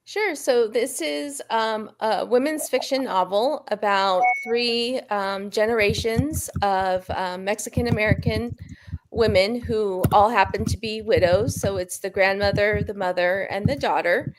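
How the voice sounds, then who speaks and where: A woman from Southern California answering casually as a guest author on a video call, pausing often as she goes. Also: medium pitch, noisy background.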